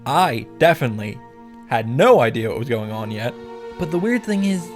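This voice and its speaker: A man's voice: medium pitch, very animated, normal speed. A man delivering a scripted comedic recap commentary in a wry, profane, mock-grandiose manner. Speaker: visual novel reactor and narrator. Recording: background music under the voice.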